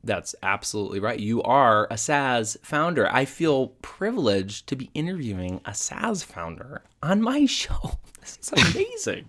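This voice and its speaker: A man's voice: mid-range voice, big pitch swings, moderate pace, few pauses, a bit bright. A man hosting a podcast with a nasal, congested voice while recovering from illness, talking in a playful, self-deprecating way. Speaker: podcast host. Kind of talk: casual podcast interview. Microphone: video call.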